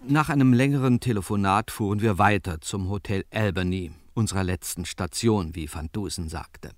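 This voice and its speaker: An actor playing a detective's wry assistant, telling the case conversationally as narrator of a radio crime play. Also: male voice, on the low side, normal speed, dry recording, studio quality, lightly acted. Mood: wry, storytelling. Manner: conversational, storytelling.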